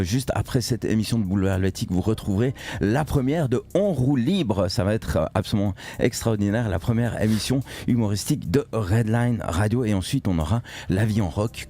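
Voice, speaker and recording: A man's voice: lowish voice, mellow. A man hosting a radio talk show, chatty and full of hesitations. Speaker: radio host.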